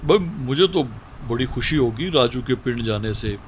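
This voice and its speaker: An actor playing a kindly, indulgent village uncle, speaking in a friendly, placating way in an Urdu radio drama. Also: male voice, mid-range voice, expressive, moderate pace, a little hiss or hum, lightly acted. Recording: sounds distant from the microphone.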